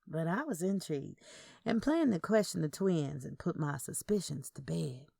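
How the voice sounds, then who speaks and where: A woman narrates a scripted first-person story. Her voice sits a little low and has a noticeable fry. The recording is dry, with no room sound. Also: lively intonation, moderate pace, dark tone, no room sound. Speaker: audio fiction narrator.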